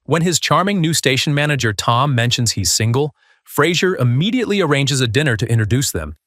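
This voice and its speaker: A man's voice: mid-range voice, expressive, brisk, fairly bright tone, dry recording. A man hosting a video countdown, reading a scripted narration.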